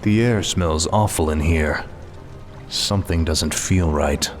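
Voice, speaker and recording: A man's voice: very deep voice, slow pace. An actor playing an arrogant, ruthless male death knight who speaks with impatient sarcasm. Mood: cold. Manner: impatient, sardonic. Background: music.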